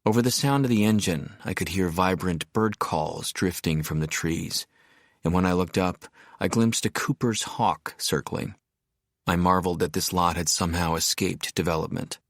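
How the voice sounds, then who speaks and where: A very deep-voiced, reflective architect. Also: male voice, moderate pace, no room sound, studio quality. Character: reflective male architect narrating his arrival in a seaside town. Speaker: audiobook narrator. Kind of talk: first-person literary audiobook narration.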